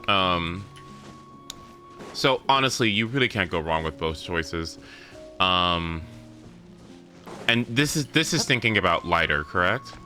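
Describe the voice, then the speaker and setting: Deep, bright-voiced man streaming a game. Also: expressive, measured pace, long pauses. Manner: rambling, thinking aloud, swearing freely. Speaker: livestreamer. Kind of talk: casual gaming stream chat. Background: game music.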